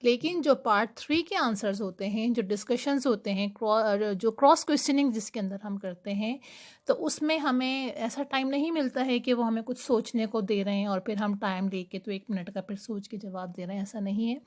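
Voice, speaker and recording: A young woman teaching IELTS speaking tips, giving her English tips slowly and deliberately between quicker explanations. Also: a little high. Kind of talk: explanatory lesson talk.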